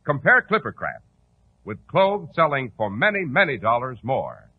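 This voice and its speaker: A man with an American accent announcing and pitching for a sponsor in polished, emphatic 1940s radio style. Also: big pitch swings, moderate pace, background noise. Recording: tinny, narrow old radio recording.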